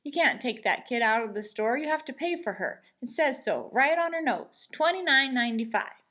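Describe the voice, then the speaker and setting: A woman's voice: high-pitched, lively intonation, moderate pace, sharp tone. A woman voicing an officious, stubborn male cashier in a children's storybook reading. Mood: officious, insistent.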